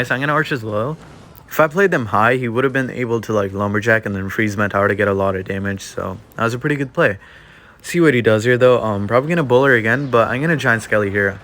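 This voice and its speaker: A man's voice: lowish voice, slight background noise. A young man giving casual play-by-play commentary over his own mobile game match, with lots of filler words. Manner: relaxed, filler-heavy play-by-play with many "like" and "um". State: slightly losing his voice. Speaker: gaming youtuber. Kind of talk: casual live gameplay commentary.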